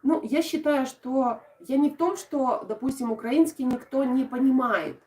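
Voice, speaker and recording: A woman from Odesa with a southern Ukrainian accent in her Russian, softening her g sounds. She is chatting casually on a craft live stream and answering her viewers' comments with lots of fillers. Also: high-pitched, normal speed. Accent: southern Ukrainian Russian, soft g and "sho". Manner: chatty and warm toward viewers, with frequent laughs and fillers. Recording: roomy, from a distant mic.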